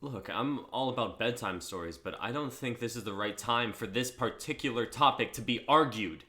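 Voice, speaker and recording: Guy playing protective young husband, sardonic n urgent, medium pitch, drama reading. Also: normal speed, pushed and bright, close to an everyday voice. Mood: exasperated, urgent.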